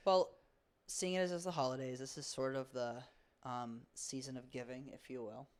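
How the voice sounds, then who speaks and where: A woman's voice: very deep voice, steady tone, moderate pace. A woman co-hosting a podcast with casual, dry, teasing banter. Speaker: podcast co-host, camp director. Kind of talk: casual chat.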